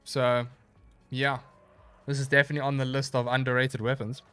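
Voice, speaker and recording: A man streaming a video game live, chatting casually and answering his chat in a relaxed, rambling way, sounding a little stuffy and nasal. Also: medium pitch, moderate pace, clear, resonant tone, faint music behind. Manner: laid-back, rambling, reads chat aloud and answers.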